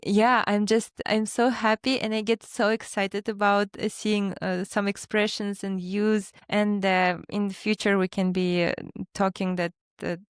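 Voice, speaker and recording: Enthusiastic woman podcaster with halting speech. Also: mid-range voice, flat delivery, clear, resonant tone, no room sound, studio quality. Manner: enthusiastic, hesitant with many uh fillers. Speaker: podcast co-host. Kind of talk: casual podcast conversation. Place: home studio.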